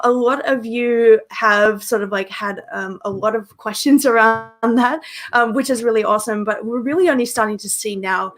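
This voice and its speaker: A woman's voice: on the high side, a bit bright. A young woman co-hosting a livestreamed meetup over a video call, chatty with many ums and likes. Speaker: meetup co-host.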